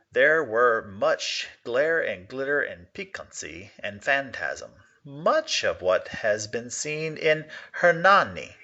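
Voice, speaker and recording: A man giving an expressive reading of a gothic short story, now and then stumbling and correcting himself. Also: medium pitch, muffled recording. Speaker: reader of a classic short story. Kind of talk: dramatic reading of a gothic tale.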